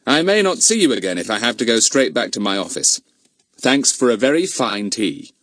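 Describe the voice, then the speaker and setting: A man voicing a kindly but brisk police inspector who speaks in short, clipped phrases. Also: medium pitch, big pitch swings, fairly bright tone, dry recording.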